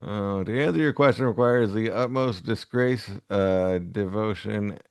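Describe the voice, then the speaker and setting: A man with a fairly low voice, recorded dry and close. He is an easygoing podcast co-host who talks casually and reads lines aloud without fuss. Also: measured pace, smooth, no echo. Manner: easygoing, chuckles often. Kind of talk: casual chat with reading aloud.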